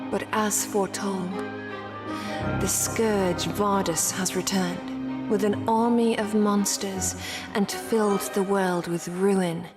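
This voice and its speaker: A woman playing a solemn, deliberate storyteller who summons a champion in a scripted fantasy trailer. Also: medium pitch, fairly even intonation, natural voice. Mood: solemn, urgent. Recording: loud music under trailer voice-over. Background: loud music.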